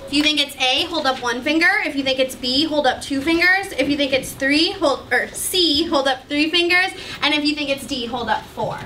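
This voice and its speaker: A young woman teaching a class, giving clear directions and prompting students with questions. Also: high voice, normal speed, hardly any pauses, pushed and bright, distant mic. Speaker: math teacher. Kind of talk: classroom instruction to children. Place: indoor school classroom with students. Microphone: distant camera microphone. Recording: roomy, noisy classroom, picked up from afar.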